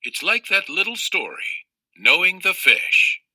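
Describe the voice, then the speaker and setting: A man voicing a patient, reflective storybook father who explains things in a measured, reassuring way. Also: sits high, big pitch swings, sharp tone, dry recording, pitched above the performer's own voice, exaggerated voice, low-quality recording. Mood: thoughtful. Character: patient, reflective father advising his young child.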